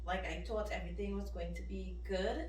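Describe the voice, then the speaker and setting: A woman giving casual, expressive book reactions as a vlogger, talking in an emphatic, conversational way. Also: medium pitch, a little fast, a little hiss or hum. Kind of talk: casual reaction and review to camera. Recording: roomy echo, distant microphone.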